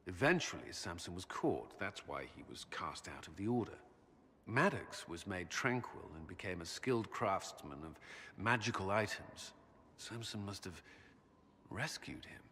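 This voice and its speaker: An actor playing a grave, duty-bound military commander in a video game, earnest, measured and formal. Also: male voice, deep voice, sharp tone, heavily acted. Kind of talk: dramatic video game cutscene acting. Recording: hall reverb with background noise.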